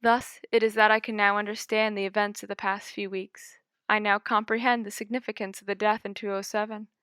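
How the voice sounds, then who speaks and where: Bright, smooth woman narrating a story. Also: medium pitch, no room sound, clean studio sound. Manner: measured, dramatic reading. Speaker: audiobook reader.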